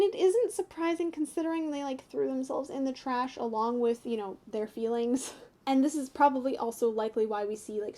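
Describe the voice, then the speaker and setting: A woman's voice: high-pitched, warm tone. A young North American woman chatting casually to viewers in a fan analysis, giggly and tentative as she thinks aloud. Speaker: YouTube host giving fan commentary.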